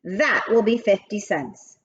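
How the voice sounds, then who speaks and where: A woman's voice: medium pitch, expressive, slow, breathy edge, lo-fi sound. A woman reading a children's storybook aloud, voicing a stubborn, earnest young schoolgirl who protests emphatically.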